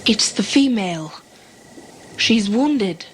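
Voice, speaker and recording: A woman's voice: medium pitch, animated, slow pace, a little hiss or hum. A woman playing a level-headed, peaceable explorer in a sci-fi audio drama, speaking formally and with measure. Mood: cautious, firm.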